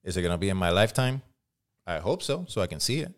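A man's voice: sits low, very animated, fast talker. A man in his forties from Puerto Rico with a Puerto Rican accent, speaking as a guest in a casual interview, candid and reflective, swearing now and then. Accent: Puerto Rican Spanish. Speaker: guest, firearms instructor.

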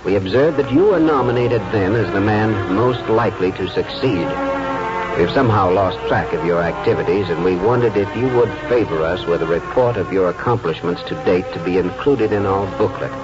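A man's voice: lowish voice, normal speed, lightly acted. An actor playing a weary, self-doubting middle-aged country lawyer, a man in a 1940s radio drama. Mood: reflective reading. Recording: muffled 1940s radio broadcast, music.

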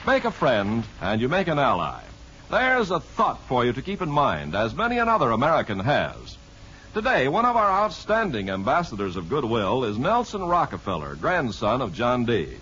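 A man's voice: mid-range voice, wildly swooping pitch, normal speed, sharp tone, slight background noise. A muffled vintage radio recording of a male announcer reading scripted copy. His intonation swings widely and dramatically. He sounds formal and emphatic, in the manner of 1950s network radio.

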